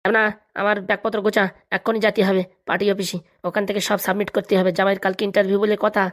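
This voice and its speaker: A woman plays a proud, middle-aged politician father in a cartoon. The delivery is extremely fast, brisk and bossy, at a medium pitch. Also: flat delivery, close to an everyday voice. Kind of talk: Bengali comedy cartoon dub.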